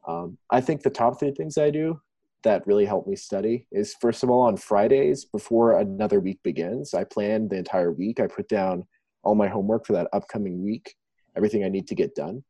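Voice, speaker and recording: A young man from Thailand answers interview questions in a thoughtful, rambling way, with frequent pauses. His voice is very dark and mellow, a little husky, and rather low. The remote call recording is dry, with no room echo. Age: young adult. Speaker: student guest.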